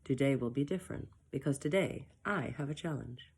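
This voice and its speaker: A woman reading her own first-person story aloud, in a soft, emotional and reflective way with brief self-mocking asides. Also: a bass voice, a little fast, breathy edge.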